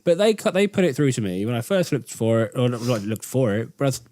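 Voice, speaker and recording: A man's voice: medium pitch, big pitch swings, fast talker, a little mellow. A man chatting casually as a podcast co-host. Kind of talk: casual chat.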